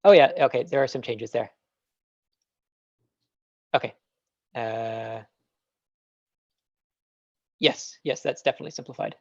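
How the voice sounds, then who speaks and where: A man's voice: mid-range voice, measured pace, vocal fry, long pauses, darkish tone, dry recording. Canadian man, tech speaker on a call, musing, hesitant, muffled dry mic. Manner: thinking aloud, hesitant with fillers and laughs. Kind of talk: casual Q&A answers.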